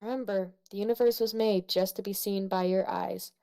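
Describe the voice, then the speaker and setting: A woman in casual conversation as a co-host, giving measured critique and explaining her reasoning somewhat apologetically. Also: mid-range voice, steady tone, vocal fry, warm tone. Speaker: co-host critiquing fan fiction.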